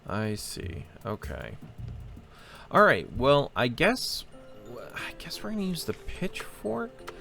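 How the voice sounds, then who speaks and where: A man's voice: mid-range voice, huge pitch swings, takes it slowly, creaky, sharp tone, soft background music. A man hosting a game playthrough with casual commentary, hesitant and rambling as he thinks aloud. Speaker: host of a gameplay channel.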